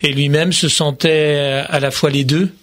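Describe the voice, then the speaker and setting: A man's voice: on the high side, steady tone, a little slow, fairly bright tone, no echo. A man hosting a radio interview in a studio, chatting and hesitating often. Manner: conversational, frequent hesitations. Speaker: radio host.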